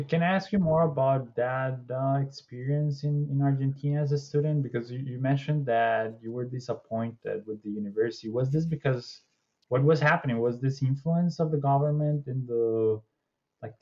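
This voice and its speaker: A young man hosting an interview over a video call, asking friendly, slightly halting questions. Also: medium pitch, muffled recording. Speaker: podcast host. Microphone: video call webcam.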